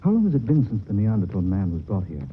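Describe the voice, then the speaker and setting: Curious, excitable leading man, old radio horror, lively theatrical inflection, narrow phone-like sound. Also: lowish voice, fast. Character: earnest, curious, excitable man exploring a museum. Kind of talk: 1940s radio horror acting. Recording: tinny, noisy vintage radio recording.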